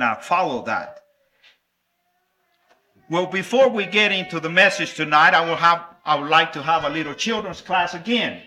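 Bright-voiced preacher. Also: male voice, fairly high pitch, a little hiss or hum. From El Salvador. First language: Spanish. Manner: warm, animated and playful with children, with halting restarts. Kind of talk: sermon with a children's object lesson.

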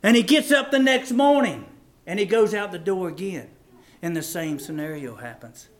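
A man preaching a fervent, folksy evangelical sermon, swinging between shouts and hushed appeals. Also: high voice, animated, normal speed. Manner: folksy, plain-spoken grammar with rhetorical questions.

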